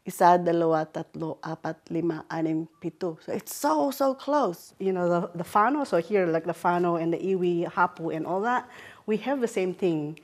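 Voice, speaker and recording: A middle-aged woman from the Philippines with a Filipino accent, explaining warmly in a sitting room interview. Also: fairly low pitch, animated, moderate pace.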